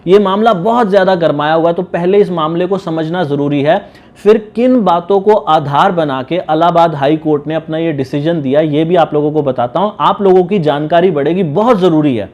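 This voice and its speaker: A man speaks in a slightly high voice. He explains legal matters informally, like an advocate addressing viewers. His manner is didactic, and he often rephrases his key points. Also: normal speed, darkish tone.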